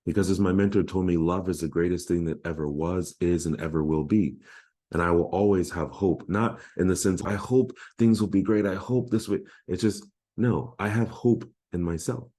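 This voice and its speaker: A man's voice: low voice, steady tone, normal speed, warm tone. A man in his thirties, a life coach giving an earnest, heartfelt motivational talk.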